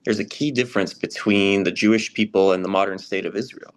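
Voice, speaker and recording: Man playing a frank, lower-voiced American churchgoer. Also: fairly even intonation, a little fast, natural voice. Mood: firm, earnest. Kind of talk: readers theater dialogue, conversational.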